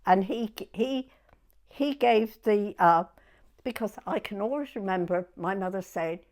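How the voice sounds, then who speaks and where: Slow-talking elderly southern English woman. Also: medium pitch, animated, a little mellow. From Weymouth, Dorset, England. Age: 90s. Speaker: oral history interviewee. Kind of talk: reminiscing in an interview.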